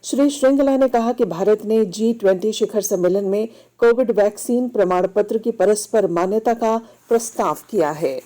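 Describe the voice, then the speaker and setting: A woman newsreader delivers a scripted radio bulletin in a formal, measured way. Her voice is mellow and relaxed, sitting at a medium pitch. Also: low-quality recording. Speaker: news reader.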